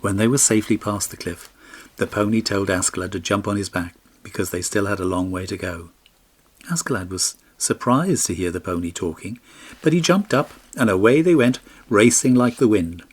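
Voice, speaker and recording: Male audiobook narrator, fairly low and slightly rough, telling a fairy tale with expression. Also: expressive, normal speed.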